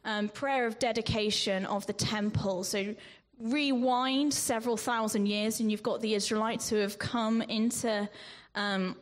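A woman preaching a sermon in a measured way, with ums and restarts, sometimes dropping to a whisper on scripture. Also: on the high side, fairly even intonation. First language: not Greek. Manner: slow, measured delivery with frequent ums and restarts.

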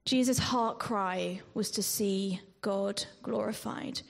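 A woman preaching a sermon in a measured way, with ums and restarts, sometimes dropping to a whisper on scripture. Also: mid-range voice, creaky. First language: not Greek. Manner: slow, measured delivery with frequent ums and restarts.

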